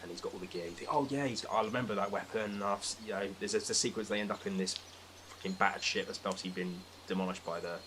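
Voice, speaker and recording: British guy with a lowish voice ranting about stuff on a podcast, sweary, bit of noise behind him. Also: quick pace. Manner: emphatic, sweary, exasperated ranting. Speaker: podcast speaker. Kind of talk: casual opinionated film discussion.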